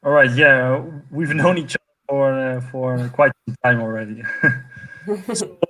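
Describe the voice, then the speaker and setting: A man's voice: medium pitch, steady tone, unhurried, bright, forceful tone, low-quality recording. A man in his twenties from Portugal, a guest speaking enthusiastically over a video call with many fillers and restarts. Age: 20s. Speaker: guest, network co-founder. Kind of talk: conversational interview answer.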